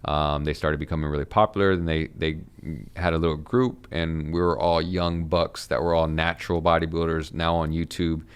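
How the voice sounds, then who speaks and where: A man in his thirties, a fitness coach talking as a podcast guest, halting with many fillers and restarts. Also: extremely low pitch, little pitch movement, smooth. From United States. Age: 30s. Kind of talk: casual conversation.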